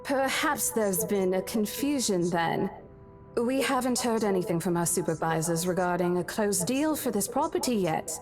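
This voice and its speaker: A woman's voice: medium pitch, expressive, moderate pace, over music, lightly acted. A woman playing a polite, composed estate agent in a visual novel, speaking tactfully and professionally. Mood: apologetic, composed. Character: polite, composed young female estate agent smoothing things over.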